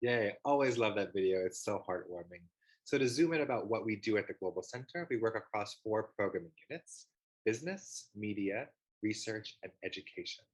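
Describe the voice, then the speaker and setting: A man's voice: on the low side, animated, brisk, low-quality recording. A man with an upbeat, enthusiastic manner, hosting an online panel discussion over a video call. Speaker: host, organization founder.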